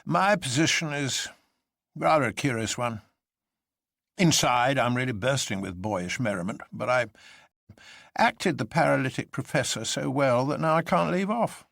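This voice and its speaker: A man voicing a gloomy professor from a storybook, who speaks slowly and sadly as a paralytic old man would, though merry inside. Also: mid-range voice, gravelly, a bit bright, no echo, studio quality, pitched above the performer's own voice. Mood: dismal, earnest. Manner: slow, sad speech belying boyish merriment. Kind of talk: dramatised audiobook storytelling.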